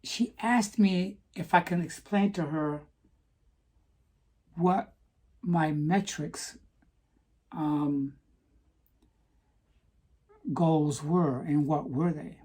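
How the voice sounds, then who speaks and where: Slow, husky-voiced woman vlogger. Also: low voice, a little mellow. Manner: earnest, repeats "okay?" for emphasis. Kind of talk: casual personal vlog.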